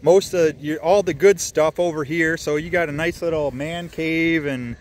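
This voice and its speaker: A man's voice: on the high side, fairly even intonation, moderate pace, a little hiss or hum. A man filming outdoors with a handheld camera, chatting and teasing as he narrates what he shows. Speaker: son filming his father for a video. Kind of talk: casual vlog narration and chat. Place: outdoors at a small airfield.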